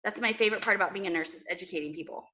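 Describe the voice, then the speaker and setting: A woman's voice: mid-range voice, rapid-fire, pushed and bright, lo-fi sound. A woman giving casual advice to a group as a guest speaker.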